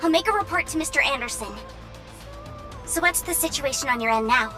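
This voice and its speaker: A young female mission operator in a game cutscene, flustered and strict about rules. Her extremely high, animated voice is excitable and scolding, over prominent music. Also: brisk. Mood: flustered, resigned. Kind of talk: dubbed game cutscene voice acting.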